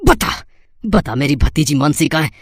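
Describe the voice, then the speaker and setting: A man's voice: a little high, expressive, normal speed, no room sound, studio quality. A man playing an emotional, righteous uncle in a cartoon moral story, speaking in an impassioned way. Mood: outraged. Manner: impassioned, accusing. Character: righteous, emotional uncle outraged over his lost niece.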